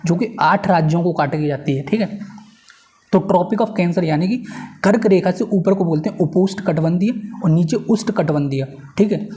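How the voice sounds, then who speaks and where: Mellow-voiced man teaching informally. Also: high voice. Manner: repetitive, checking understanding with tag phrases. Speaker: teacher for exam preparation. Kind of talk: informal lecture explaining at a board.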